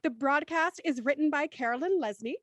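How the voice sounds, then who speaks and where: A woman's voice: high voice, a little fast, dry recording. A woman hosting a livestreamed cast chat and signing off the show, chatty and laughing between lines. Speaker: host.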